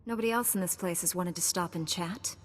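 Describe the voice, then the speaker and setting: An actress playing a confident, calm Jedi knight in a video game, with a dry, assured delivery. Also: female voice, lowish voice, a little fast, long reverb tail, close to an everyday voice. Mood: dry, diplomatic. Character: composed, confident heroic female Jedi knight.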